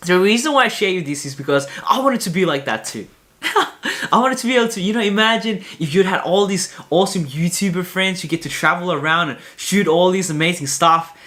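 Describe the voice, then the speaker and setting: High-voiced young man rambling to camera. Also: animated, fairly quick, pushed and bright. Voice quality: high-pitched voice. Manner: rambling, many fillers and restarts, candid. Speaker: vlogger. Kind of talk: casual talk to camera.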